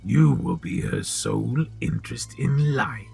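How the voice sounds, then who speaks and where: A man playing a sly, sinister old potion seller in an audio drama, with a gravelly, husky voice and a deliberate, insinuating manner. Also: mid-range voice, animated, a little mellow, soft background music, exaggerated voice.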